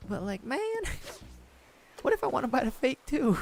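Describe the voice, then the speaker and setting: Hoarse gravelly male streamer. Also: very high-pitched, sing-song, unhurried. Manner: rambling, joking, laughs often. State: hoarse, sore throat. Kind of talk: casual gameplay commentary. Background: game music.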